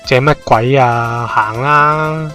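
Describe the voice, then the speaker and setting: A man's voice: medium pitch, slow, pushed and bright, faint music behind. A man playing a conceited, jobless young slacker in an amateur radio drama, with a Hong Kong Cantonese accent. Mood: cheeky. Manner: pompous, joking.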